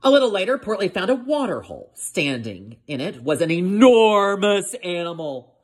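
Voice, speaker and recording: A woman reading a children's picture book aloud like a teacher, expressive and addressing her young listeners. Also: mid-range voice, normal speed, breathy edge. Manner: expressive, asks listeners questions.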